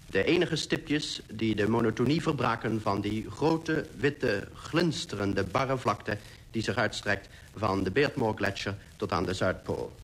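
A man's voice: lowish voice, measured pace, fairly bright tone. A man playing a polar explorer who recounts his expedition in literary, descriptive radio-drama narration. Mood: vivid, descriptive. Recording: faintly noisy old radio recording.

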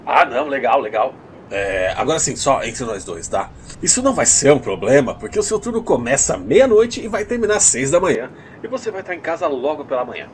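Guy doing a shifty brazilian pizzeria owner, swingy pitch, breezy and reassuring, movie dub, faint rumble behind. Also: big pitch swings, fairly quick, close to an everyday voice. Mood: breezy, eager. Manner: hesitant fillers, breezy reassurance. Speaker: voice actor in a fan dub. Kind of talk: dubbed film dialogue.

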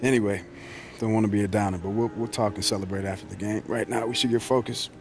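An actor playing a reflective, easygoing American basketball player in a naturalistic, low-key video game performance. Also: male voice, fairly even intonation, quick pace, noisy background, lightly acted. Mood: reflective, subdued. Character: professional basketball star, reflective, easygoing, close to his family.

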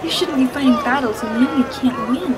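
Protective older sister in fantasy audio play female actor, high voice, chatty and scolding, Filipino accent, background noise. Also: fairly quick, close to an everyday voice. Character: bossy, protective teenage big sister, magician's apprentice. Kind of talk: fantasy audio drama acting. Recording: noisy with street crowd ambience.

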